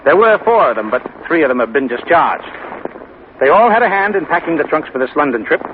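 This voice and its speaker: An actor playing an earnest, businesslike federal narcotics agent briefing someone in a 1950s radio drama. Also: male voice, on the high side, big pitch swings, fairly quick. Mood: earnest, explaining. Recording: noisy, tinny 1950 radio recording.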